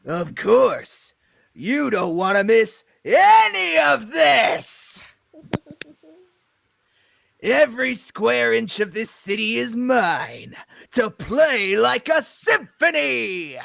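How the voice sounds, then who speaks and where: A man voicing a gloating, contemptuous cartoon supervillain with a gravelly, theatrical delivery in a live comic dub. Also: very high voice, big pitch swings, drawn-out words, a bit bright, no echo, studio quality, full character voice.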